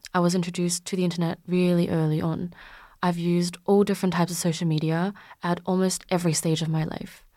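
A woman's voice: fairly low pitch, darkish tone, dry recording, studio quality. A woman of twenty-three, a student co-host, talking candidly and reflectively about her own habits. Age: 20s. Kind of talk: casual podcast discussion.

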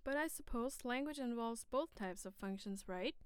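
Clear, higher-pitched woman presenting formally. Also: animated, normal speed, dry recording. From Germany. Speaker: co-presenter in a discussion on bilingualism. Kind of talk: scripted educational dialogue.

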